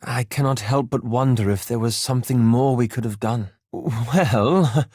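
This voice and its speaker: A man's voice: mid-range voice, unhurried, a little mellow, breathy edge, dry recording, studio quality. An actor voicing a cautious, scholarly young male elf wizard in a video game, formal and dryly sardonic, with precise articulation. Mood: grave, hesitant. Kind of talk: scripted video game voice lines.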